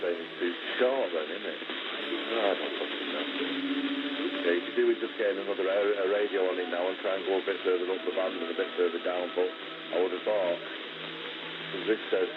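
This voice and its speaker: A man's voice: sits low, fairly even intonation, moderate pace. A man in casual technical chat as an amateur radio operator. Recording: dull radio transmission, loud music behind.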